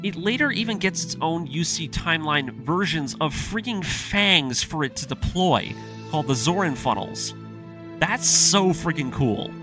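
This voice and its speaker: A man delivering an enthusiastic video essay as an excitable fan, informal and emphatic, with a storyteller's sense of drama. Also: fairly high pitch. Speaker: video creator and narrator. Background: music under the voice.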